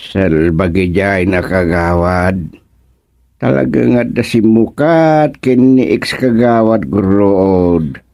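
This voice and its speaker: A man's voice: low-pitched, animated, takes it slowly, creaky, darkish tone, full character voice. An actor with an Ilocano Filipino accent playing an ailing, grumbling older village councilman in a radio comedy drama. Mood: indignant.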